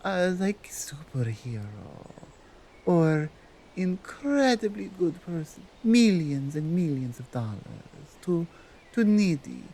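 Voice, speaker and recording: A woman playing a strong, dominant female Krampus in an ASMR roleplay, speaking in a deliberate, halting way with a Nordic accent. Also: lowish voice, mellow, full character voice. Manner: slow, deliberate, halting foreign-sounding phrasing. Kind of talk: ASMR roleplay monologue addressed to the listener.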